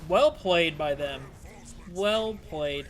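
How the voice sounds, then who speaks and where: A man with a fairly high voice talks loosely through a game stream, drifting between play and viewer questions. His voice sounds strained and a little worn. Game sounds and noise run clearly beneath him. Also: very slow. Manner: rambling, chatty, answering chat between plays. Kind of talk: casual live-stream gameplay commentary.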